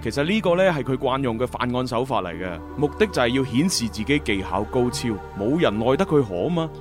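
An actor playing an earnest, slightly bumbling young agent in a radio drama, with a Hong Kong Cantonese accent. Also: male voice, medium pitch, very animated, fairly quick, close to an everyday voice. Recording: music bed under the dialogue.

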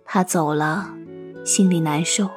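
A woman's voice: low voice, steady tone, takes it slowly, music in the background. A woman playing a grave, kindly elder counselling someone younger in a costume audio drama. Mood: gentle, earnest.

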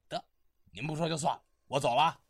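Man acting a gravelly, chatty, nosy fellow. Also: fairly high pitch, moderate pace, no room sound. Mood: nosy, wheedling. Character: chatty, ingratiating, folksy male acquaintance, nosy. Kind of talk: radio drama acting.

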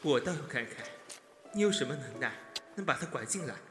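A man playing a sharp-tongued, articulate confidant of an imperial official in a Chinese costume audio drama. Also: medium pitch, expressive, fairly quick, music in the background, close to an everyday voice. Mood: wry teasing. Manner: wry, articulate, needling.

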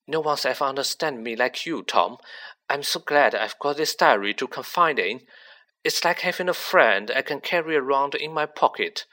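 A man voicing a frightened, confused young schoolgirl who pleads fast and breathlessly. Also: mid-range voice, expressive, pushed and bright, pitched above the performer's own voice. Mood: anxious, pleading.

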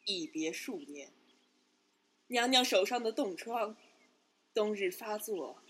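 A woman playing a stern, plain-spoken Buddhist nun in a Chinese palace radio drama, measured, subdued and grave. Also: mid-range voice, expressive, a bit bright, a little hiss or hum. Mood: grave, reproachful.

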